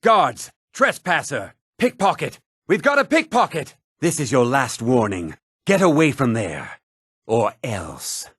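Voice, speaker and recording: A haughty townsman from a fantasy game, with a voice that swings widely in pitch. He speaks slowly and smugly, condescending in every phrase, in a theatrical style. The sound is husky and recorded very dry. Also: sing-song, a bit bright, no room sound, full character voice. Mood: stern warning. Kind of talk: video game combat and reaction barks.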